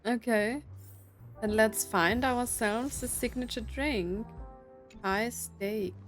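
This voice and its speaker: Slow-paced, high-voiced woman gaming. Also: faint music behind. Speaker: streamer reacting to a game story. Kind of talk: casual playthrough commentary.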